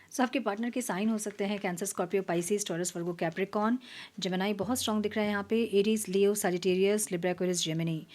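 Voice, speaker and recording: A woman hosting a tarot reading, slightly hoarse, talking casually and dropping to near-whispers at times. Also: mid-range voice, fairly even intonation, fast. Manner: soft, mixing Hindi and English, drops to near-whispers while shuffling. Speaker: tarot reader.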